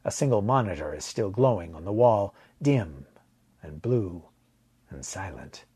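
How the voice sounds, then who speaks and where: A man narrating a science fiction story in the first person, with a measured, dramatic delivery. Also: low voice, lively intonation, mellow, husky, dry recording.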